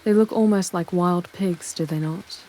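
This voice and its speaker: Woman acting a low, sultry demoness, haughty. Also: moderate pace, mellow, natural voice. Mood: disgusted. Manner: haughty, theatrical, formal phrasing. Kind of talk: ASMR roleplay, dramatic monologue to the listener.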